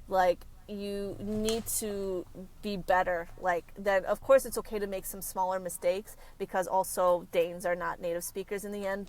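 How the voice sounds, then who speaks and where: A woman's voice: medium pitch, low-quality recording. A woman career consultant talking in a casual podcast interview. She stops and corrects herself often as she speaks. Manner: halting, frequent self-corrections.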